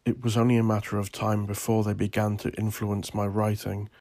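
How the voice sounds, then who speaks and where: A man who is an author and podcast host, reading a scripted piece in a measured, solemn, dramatic manner. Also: lowish voice, flat delivery, heavy background noise. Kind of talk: scripted dramatic gothic narration and podcast sign-off.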